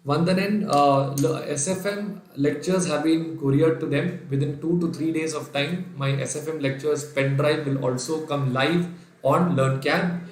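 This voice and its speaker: A man's voice: a little high, steady tone, measured pace, roomy sound, slight background noise, poor audio. A man from Kerala with an Indian English accent and Sindhi as his first language, giving a live online revision lecture. He dictates the working step by step and reads out viewers' names, answering them as he goes. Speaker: finance lecturer.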